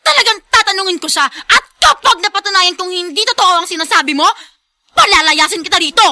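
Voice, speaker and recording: Shrill, haughty young heiress. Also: female voice, lively intonation, a little fast, pushed and bright, exaggerated voice. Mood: furious, defiant. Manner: shrill, demanding, distraught. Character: haughty, hot-tempered young hacienda heiress. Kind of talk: melodramatic radio drama acting.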